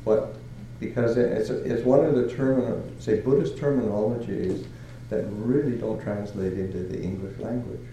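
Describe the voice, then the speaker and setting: An older man from Canada giving a Buddhist talk as a monk, halting and searching for words with many fillers and soft chuckles. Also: on the low side, fairly even intonation, very echoey, slight background noise, rough recording. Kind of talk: dharma talk.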